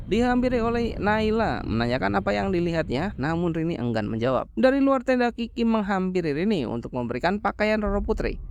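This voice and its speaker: A high-voiced man delivers a scripted horror movie recap. His intonation swings widely as he recounts and explains the story in informal language, over faint background noise. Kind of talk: scripted storytelling narration of a horror film plot.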